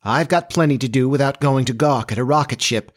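A man voicing a sharp, no-nonsense farm mother in a story, scolding and exasperated in a brisk, folksy way. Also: a little high, very animated, breathy edge, voice pushed up.

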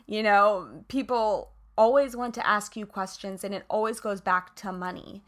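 A woman's voice: mid-range voice, moderate pace, fairly bright tone. A woman hosting an interview show, talking in a casual, chatty and rambling way. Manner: chatty, rambling, lots of "like" and "you know". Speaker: podcast host.

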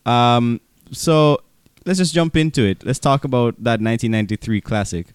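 A man's voice: mid-range voice, normal speed, clear, resonant tone, dry recording. A man hosting a podcast in casual, jokey conversation, often chuckling as he talks. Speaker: podcast host.

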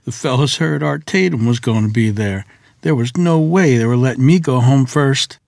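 A man's voice: medium pitch, normal speed, creaky, a little mellow, no room sound, studio quality, close to an everyday voice. A man playing a bewildered young soldier son in a dramatic script reading. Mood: sheepish. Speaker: actor at a screenplay table read.

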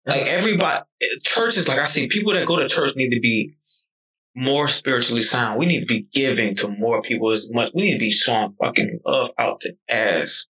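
Young guy, gravelly and bright, talks fast and animated, cusses a lot. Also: medium pitch, poor audio. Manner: animated, swears freely, storytelling. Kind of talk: casual conversation.

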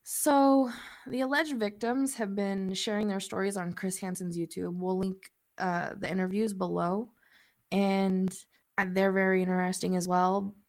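A woman's voice: medium pitch, flat delivery, a little slow. A woman co-hosting a casual podcast, chatty and laughing between points, sounding a little stuffy from a cold. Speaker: podcast co-host.

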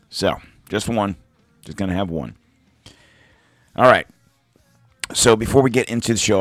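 Hoarse, low-voiced podcast host. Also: male voice, no echo. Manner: rambling, self-deprecating, reads sponsor ads. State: hoarse from throat trouble. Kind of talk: casual podcast monologue. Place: home podcast studio.

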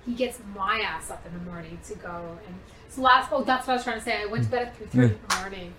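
A young woman comedian telling stories in a casual chat, animated and prone to tangents, acting out what people said. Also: medium pitch, fast, recorded from a distance, crowd noise. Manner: animated, acts out quotes, goes off on tangents. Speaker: comedian and actress guest. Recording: roomy echo, off-mic, faint noise.

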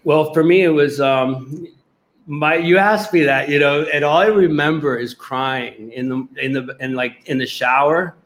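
A man's voice: on the high side, a little slow, fairly bright tone. A man from Puerto Rico, a podcast guest telling his life story in an earnest, rambling way. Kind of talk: casual interview.

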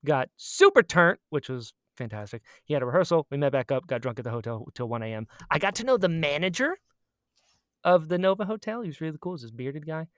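A podcast co-host of ambiguous-sounding sex with a medium-pitched voice, recorded close and dry. The delivery is casual and gushing, full of a fan's enthusiasm, with phrases repeated for emphasis. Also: animated, quick pace, no echo. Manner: acts out quoted exchanges in storytelling. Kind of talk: casual chatty podcast catch-up.

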